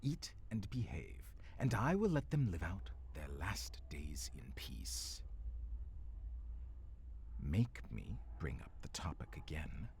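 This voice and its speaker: A man's voice: sits low, sing-song, slow, gravelly, darkish tone, a little hiss or hum, exaggerated voice. A man playing an ancient, menacing demon and frost giant tyrant in an audio drama, speaking formally and slipping into whispers. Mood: stern, controlled. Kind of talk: dramatic audio roleplay acting.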